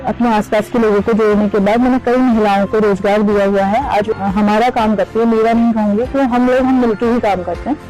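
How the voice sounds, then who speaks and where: A woman's voice: medium pitch, fairly even intonation, brisk, few pauses, dark tone, faint music behind, low-quality recording. A woman who runs a small craft business giving a plain, modest spoken testimonial.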